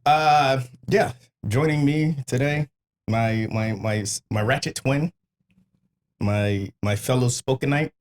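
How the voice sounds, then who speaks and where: A man's voice: medium pitch, takes it slowly. An American man, around his thirties, hosting a podcast with casual, comedic banter, wry, profane and emphatic. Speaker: podcast host.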